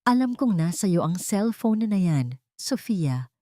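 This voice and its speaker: A woman's voice: medium pitch, sing-song, a little slow, mellow, no echo, studio quality. A woman voicing a threatening, commanding older matriarch villain in an audio drama. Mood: cold threat.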